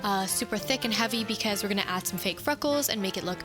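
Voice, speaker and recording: A woman's voice: medium pitch, fast. A young woman in her twenties hosting a beauty tutorial, chatty and upbeat as she explains each step in a voice-over. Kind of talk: voice-over makeup tutorial narration. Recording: voice-over with clear background music.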